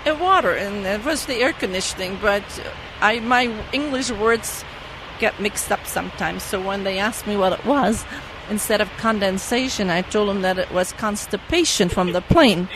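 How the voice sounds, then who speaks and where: A woman's voice: mid-range voice, normal speed, noisy background. A woman from the Netherlands with a Dutch accent, a native Dutch speaker, telling stories from her flight attendant days and laughing often. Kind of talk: casual anecdote telling.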